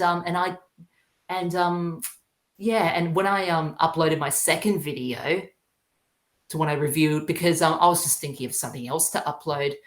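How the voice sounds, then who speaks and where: A young Australian man chatting casually as an interview guest, hesitant and earnest in his delivery. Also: fairly high pitch. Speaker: podcast guest, YouTuber. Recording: roomy, echoing sound.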